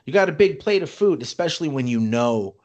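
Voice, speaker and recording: A middle-aged man with a voice of ordinary pitch, co-hosting a podcast. He speaks bluntly and earnestly and swears casually. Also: expressive, a little fast, a little mellow, lo-fi sound. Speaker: podcast co-host. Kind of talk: casual chat.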